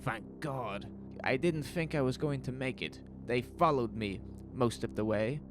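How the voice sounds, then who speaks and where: A man's voice: medium pitch, measured pace, noisy background, voice pushed up, close to an everyday voice. A man playing an exhausted, desperate man in flight, urgent and insistent, in an audio drama. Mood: desperate, shaken. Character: exhausted, desperate man who escaped an alien invasion. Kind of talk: audio drama character acting.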